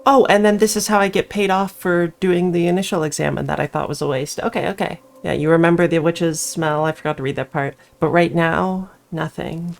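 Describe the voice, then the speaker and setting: A woman's voice: on the low side, fairly even intonation, a little fast, gravelly, few pauses, a little hiss or hum. A woman reading a visual novel aloud as a player, adding her own casual commentary. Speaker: game player and narrator. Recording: music behind voice. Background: game music.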